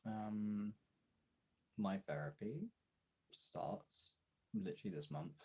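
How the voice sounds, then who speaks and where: Young male streamer, husky and dark-toned, informal off-the-cuff chat with viewers. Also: lowish voice, sing-song, slow pace, low-quality recording. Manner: informal, chatty, answering chat as he goes. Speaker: streamer and video creator. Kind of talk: casual livestream chat.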